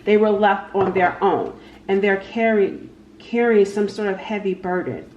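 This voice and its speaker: A woman's voice: medium pitch, darkish tone, highs cut off. Female tarot host, informal and improvised, repeats herself while reading cards; roomy sound with slight background noise. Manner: halting, repeats phrases, talks to spirit and cards. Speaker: tarot reader, channel host. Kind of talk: casual tarot card reading, unscripted. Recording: echoey room with faint background noise.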